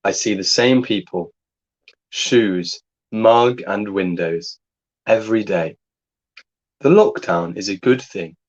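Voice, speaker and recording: A young man with a fairly low voice co-hosts a relaxed livestream chat. He speaks calmly and deliberately, giving steady advice. The audio is dull and lo-fi. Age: young adult. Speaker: co-host.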